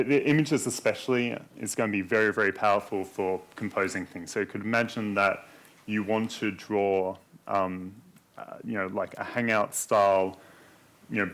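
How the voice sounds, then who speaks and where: A man's voice: on the low side, fairly bright tone. A man giving a conference talk, steadily explaining code and live demos to an audience. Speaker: conference speaker. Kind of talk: technical conference talk walking through demos.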